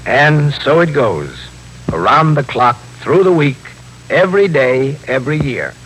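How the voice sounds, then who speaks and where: An American actor playing a stern, plain-spoken New York police captain in a 1950s radio drama. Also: male voice, mid-range voice, expressive, a little slow, fairly bright tone, breathy edge. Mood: reflective. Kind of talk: 1950s radio drama acting and first-person narration. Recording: noisy 1950s radio broadcast recording.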